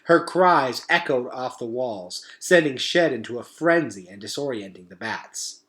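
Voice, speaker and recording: A man reads an adventure novel aloud in a mid-pitched voice. His delivery is very animated and full of suspense, swinging up and down like a storyteller holding young listeners. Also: measured pace. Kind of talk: dramatic children's novel read-aloud.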